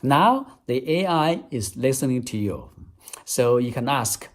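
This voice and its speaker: Chinese-accented man, mid-pitched, earnest English tutor, repeats himself as he explains steps. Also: animated, unhurried. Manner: earnest, step-by-step, repeats phrases. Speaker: English teacher. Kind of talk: app demonstration tutorial.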